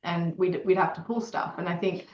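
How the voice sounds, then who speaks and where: A woman's voice: on the low side, steady tone, moderate pace. A woman co-founder and former marketer, talking reflectively with many fillers in a casual interview over a video call. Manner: hesitant, filler-heavy, reflective. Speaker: co-founder of an AI creative tool, ex-marketer. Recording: roomy, dull lo-fi call audio.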